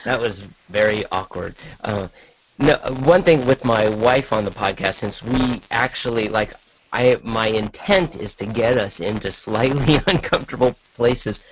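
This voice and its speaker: A man chatting casually as a podcast guest, halting and self-deprecating. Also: lowish voice, breathy edge. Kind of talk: casual chat. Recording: dull, lo-fi call audio.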